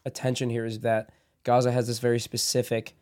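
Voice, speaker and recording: A man's voice: medium pitch, monotone, fairly quick, darkish tone. A man hosting a casual discussion, speaking earnestly and repeating words for emphasis. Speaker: podcast host.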